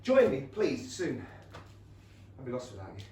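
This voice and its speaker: An actor playing a boyishly enthusiastic, rich young Regency gentleman with an English accent in a staged comedy reading. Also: medium pitch, huge pitch swings, moderate pace. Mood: cheerful, affectionate. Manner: eager, gushing. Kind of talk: staged reading of a comic play. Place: small theatre stage. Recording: roomy theatre, distant mic, faint noise.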